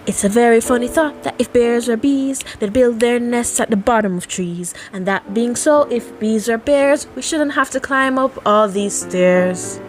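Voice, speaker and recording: A woman's voice: a little high, moderate pace, few pauses, soft background music, pitched above the performer's own voice, natural voice, poor audio. A woman voicing a musing, honey-loving little storybook bear who rambles earnestly to himself. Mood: singsong musing.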